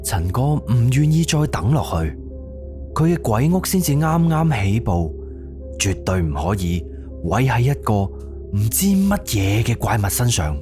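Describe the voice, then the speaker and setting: A man's voice: mid-range voice, very animated, measured pace, music in the background. A man with a Cantonese accent narrating a scripted suspense horror audio drama.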